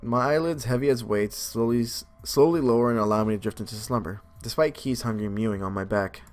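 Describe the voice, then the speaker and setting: A man's voice: medium pitch, fairly quick, a little mellow. A man doing a casual let's play of a game, moving between commentary and reading aloud. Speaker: game video creator. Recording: music behind the voice. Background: game music.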